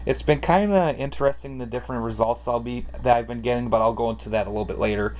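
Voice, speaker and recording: A man's voice: mid-range voice, fast. A man giving a casual, hesitant, rambling show-and-tell, narrating as he films on a handheld camera. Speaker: hobbyist vlogger. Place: around a house, basement and outdoors. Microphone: handheld camera microphone. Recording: noisy camcorder audio, steady background noise.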